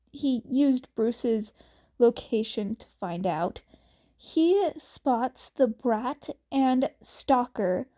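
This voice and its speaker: A woman with a somewhat high-pitched voice narrates fan fiction. She goes very slowly, with an informal, slightly halting delivery, like an amateur audiobook reader. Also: warm tone.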